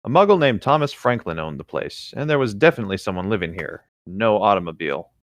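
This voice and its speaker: Man, low pitch, no room echo, easygoing, dry humour, casual story reading. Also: animated, fast talker. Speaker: podcast co-host reading fan fiction. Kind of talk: casual read-aloud with asides.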